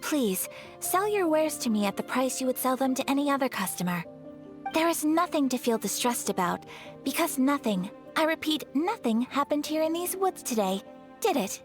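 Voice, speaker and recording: A woman's voice: sits high, big pitch swings, normal speed, soft background music. A woman voicing a polite, graceful young noblewoman swordswoman in a video game, with formal, courteous speech. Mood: gracious.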